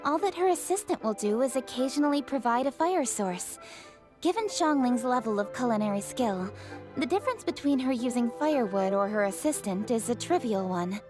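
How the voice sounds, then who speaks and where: A woman's voice: on the high side, expressive, a little fast, lightly acted. A woman voicing a composed young female judge in a video game, speaking formally and articulately over music. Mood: composed, explaining. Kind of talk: English video game voice acting.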